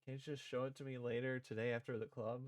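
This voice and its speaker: A man's voice: mid-range voice, little pitch movement, quick pace, no room sound. A man reading visual novel dialogue aloud and performing the parts, with casual asides as a streamer.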